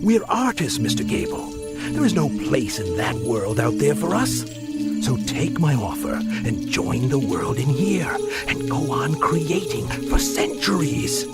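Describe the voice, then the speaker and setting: Sinister ageless carnival showman, insinuating and grandiose, big pitch swings, loud music underneath. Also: big pitch swings, unhurried. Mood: seductive, grandiose. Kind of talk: audio drama acting.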